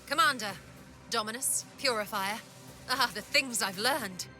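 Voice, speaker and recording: A woman's voice: fairly high pitch, measured pace, pushed and bright. A woman playing a zealous, eager inquisitor in a grim sci-fi video game, formal and intense in her delivery. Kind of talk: dramatic video game voice acting. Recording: music under the voice.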